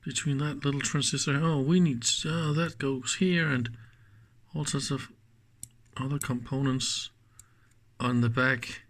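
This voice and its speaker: Slow-paced, musing man. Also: mid-range voice, fairly even intonation. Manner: musing, halting, trailing off mid-thought. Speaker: electronics teardown host. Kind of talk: casual unscripted hands-on commentary. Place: indoors at a workbench.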